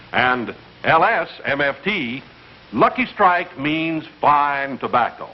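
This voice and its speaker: A man announces in 1950s radio commercial style, his fairly high voice lively and emphatic. The polished pitch comes through a dull vintage recording. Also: slightly rough. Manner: polished, emphatic sales delivery. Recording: dull old radio broadcast.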